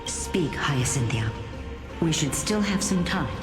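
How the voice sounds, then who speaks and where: Elderly grandmother, lowish pitch, sweeping intonation, solemn and tender, weighty phrasing, fantasy game legend-telling. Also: lively intonation. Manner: measured, dramatic, weighty phrasing. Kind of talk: dramatic fantasy game storytelling. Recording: reverberant studio voice under music.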